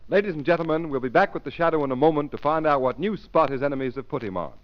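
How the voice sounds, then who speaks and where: High-voiced, animated 1930s radio announcer man. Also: racing, loud music behind the voice. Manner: emphatic salesman delivery. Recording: muffled 1930s radio recording.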